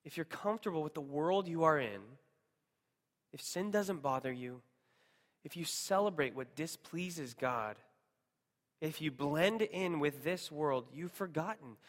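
A man's voice: a little high, measured pace. A man with an American accent preaching a sermon to a congregation in an emphatic way, leaning on repetition. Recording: long hall reverb.

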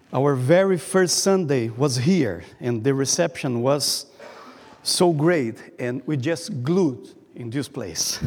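Brazilian guy preaching, slow careful delivery, big echoey church hall. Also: a little high, darkish tone. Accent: Brazilian Portuguese. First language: Portuguese. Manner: careful, halting delivery, reading from notes. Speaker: guest preacher. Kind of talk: sermon. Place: church sanctuary. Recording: long reverb of a church hall.

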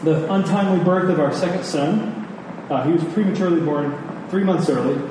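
Male preacher, earnest sermon delivery with repeated words for emphasis; very echoey room, clear background noise. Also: a little high, fairly quick. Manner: earnest, emphatic with repetition for stress. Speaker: pastor preaching to his congregation. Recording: echoey hall, distant mic, noisy background.

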